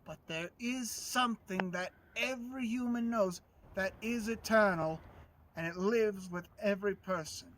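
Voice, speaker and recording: A man's voice: high voice, measured pace, a bit bright, distant mic, a little hiss or hum. A man playing a folksy, wry and wistful small-town cemetery guide in a musing, plain-spoken dramatic monologue. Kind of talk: dramatic monologue, oral interpretation.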